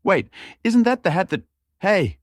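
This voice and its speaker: Man voicing an uneasy young wolf, pitched up. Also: sing-song, measured pace, no room sound, clean studio sound. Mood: startled, urgent.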